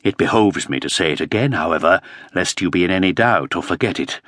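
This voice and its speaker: A man voicing a coarse, scornful Elizabethan courtier with a low, contemptuous drawl. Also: lively intonation, brisk, a bit bright, no room sound, studio quality.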